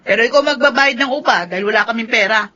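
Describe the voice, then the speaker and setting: Scornful, quick-tempered Filipino mother for radio drama: high, forceful voice, confrontational. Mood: bitter, accusing. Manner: emotional, confrontational delivery. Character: bitter, combative mother, scornful and quick to fury. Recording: dull lo-fi radio drama audio.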